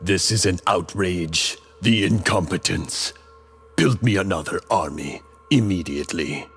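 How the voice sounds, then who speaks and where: An actor playing a vengeful, imperious villain lord in a radio drama, raspy and commanding. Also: male voice, low-pitched, unhurried, a bit bright, faint music behind, exaggerated voice. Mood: outraged. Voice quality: husky, raspy. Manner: imperious, menacing.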